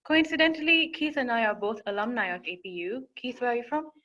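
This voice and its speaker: A woman's voice: fairly high pitch, fast talker. Young woman presenting a webinar. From Singapore. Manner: informative, steady, with small fillers and restarts. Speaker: admissions counselor.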